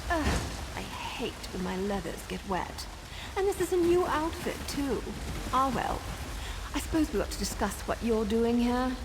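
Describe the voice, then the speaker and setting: An actress playing a sardonic, self-assured assassin in a video game, with a teasing, wry way of talking. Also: female voice, on the high side, lively intonation, moderate pace, noisy background. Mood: mocking, flippant. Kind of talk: video game character acting.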